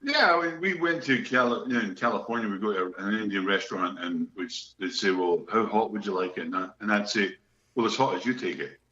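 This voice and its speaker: A man's voice: on the low side, brisk, sharp tone. A middle-aged Scottish man, a guest musician, telling stories in a chatty, joking way. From Scotland. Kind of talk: casual interview chat. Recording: echoey room, dull lo-fi sound.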